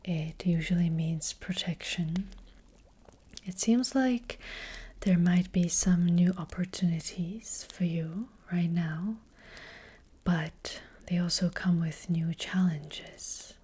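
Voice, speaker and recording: A woman playing a gentle, hesitant witch fortune teller in an ASMR roleplay, speaking in a breathy, hushed whisper. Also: lowish voice, fairly even intonation, heavily acted. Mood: gentle, mysterious. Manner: slow, hushed, hesitant, unhurried. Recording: close-up with faint background noise.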